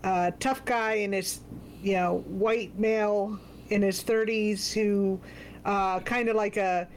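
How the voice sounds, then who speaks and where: A woman's voice: mid-range voice, fairly even intonation, slow, a little hiss or hum. A woman chatting casually, telling stories in a hesitant way with many fillers. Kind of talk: casual chat.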